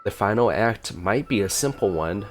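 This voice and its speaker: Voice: low voice, expressive, moderate pace, faint music behind. A chatty, reactive streamer doing a casual gameplay reaction and reading along aloud.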